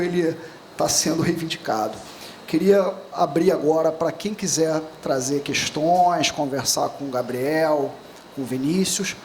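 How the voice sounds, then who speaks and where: A man's voice: on the high side, slight background noise. A man moderating a panel discussion, reflecting on the talk before opening the floor to questions. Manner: reflective, then organizing the floor.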